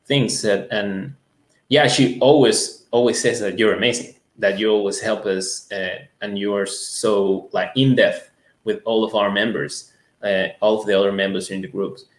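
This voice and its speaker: In a roomy, echoing recording, a man from Ecuador whose first language is Spanish talks conversationally as an interview guest. His voice is lowish, and he speaks earnestly in halting phrases. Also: fairly even intonation. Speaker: music school owner, interview guest. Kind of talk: conversational testimonial.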